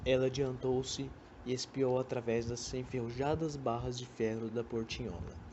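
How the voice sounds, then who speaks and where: A young Brazilian man narrates a literary radio drama from a script. His voice is medium-pitched, with some faint noise in the amateur recording. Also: little pitch movement, normal speed, warm tone, highs cut off. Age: young adult.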